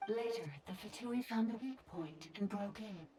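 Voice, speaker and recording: A woman's voice: medium pitch, moderate pace, mellow, recorded from a distance, a little hiss or hum, close to an everyday voice. A woman voicing a damaged, emotionless automaton in a video game, reporting in terse, clipped phrases. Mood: detached.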